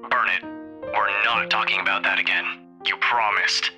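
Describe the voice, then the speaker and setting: A man's voice: lowish voice, steady tone, moderate pace, over music, lightly acted. An actor playing a brusque, worried young man in a visual novel. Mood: brusque, uneasy.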